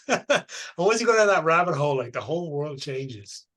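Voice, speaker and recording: A man hosting a podcast, relaxed and casual, swearing freely in easy conversation. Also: on the high side, moderate pace. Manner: relaxed, swears casually, uses colloquial phrasing. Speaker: podcast host. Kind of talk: casual interview chat.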